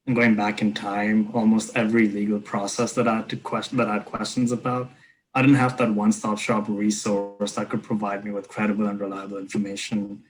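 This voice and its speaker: A man in his twenties from India, a startup co-founder answering panel questions in an earnest, measured way. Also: on the low side, flat delivery, low-quality recording. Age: 20s.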